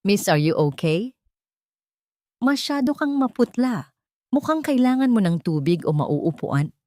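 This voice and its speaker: A woman with a Filipino accent voicing a gentle, concerned male stranger in a dramatic story, soft-spoken and soothing. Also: medium pitch, sing-song, measured pace, no echo, clean studio sound.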